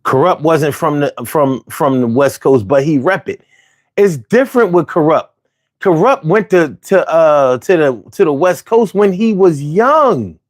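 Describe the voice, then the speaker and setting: A man's voice: a little high, lively intonation, unhurried. A man in his forties from Philadelphia with a Philly accent, hosting a casual, argumentative monologue full of slang and restarts. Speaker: host.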